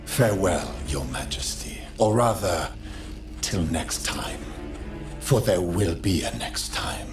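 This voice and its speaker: Deep-voiced, smug warlord chancellor. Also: male voice, animated, slow pace. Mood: cold disdain. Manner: condescending, formal, smoothly menacing. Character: cold, smug Nilfgaardian grand chancellor and army commander. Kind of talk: dramatic video game acting. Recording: echoey, with music behind.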